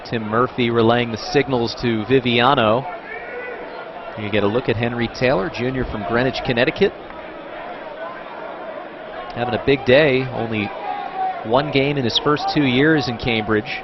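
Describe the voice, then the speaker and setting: A man calling college football play by play, brisk and informative. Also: medium pitch. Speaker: play-by-play announcer. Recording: dull broadcast audio with crowd noise.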